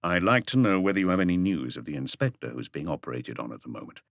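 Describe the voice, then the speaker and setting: Guy doing a low voiced chief inspector for an audiobook, calm, polite, few words, dry sound. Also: fast talker, dry recording. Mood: polite, restrained. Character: calm, authoritative male police chief inspector, courteous and terse. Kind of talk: audiobook character voice.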